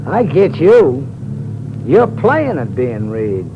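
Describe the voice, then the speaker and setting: An actor playing a sly, needling ship's purser turned blackmailer in a 1950s radio drama. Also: male voice, mid-range voice, wildly swooping pitch, slow pace. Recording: muffled old radio broadcast with loud hiss.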